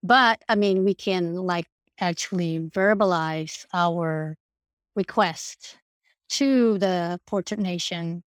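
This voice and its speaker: A woman's voice: lowish voice, slow pace, dry recording. A woman from Indonesia whose first language is Indonesian, chatting casually as a podcast guest over a call. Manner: chatty, laughs along, switches languages. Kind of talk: casual chat.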